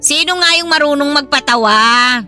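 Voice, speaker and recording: High, sharp-voiced nagging Filipino mother-in-law. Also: moderate pace, faint music behind. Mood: suspicious, nagging. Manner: scolding, sarcastic, blunt. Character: hot-tempered, suspicious, nagging older mother-in-law. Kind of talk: radio drama acting.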